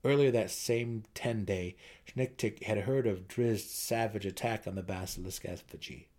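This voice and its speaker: A man's voice: fairly low pitch, normal speed, darkish tone. A man reading a fantasy novel aloud as narrator, in a dramatic, scripted audiobook style.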